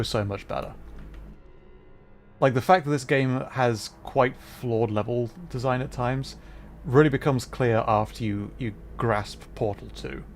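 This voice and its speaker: A man casually commentating live gameplay for his chat, thinking aloud and interrupting himself as he goes. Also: medium pitch. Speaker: livestreamer, host.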